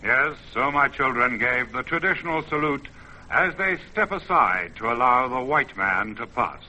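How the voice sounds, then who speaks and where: A man's voice: mid-range voice, animated, moderate pace, bright, forceful tone, lo-fi sound. A man voicing a proud, paternal mountain spirit in solemn, stately, declamatory verse for an old-time radio drama. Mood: solemn pride. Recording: vintage radio, music bed.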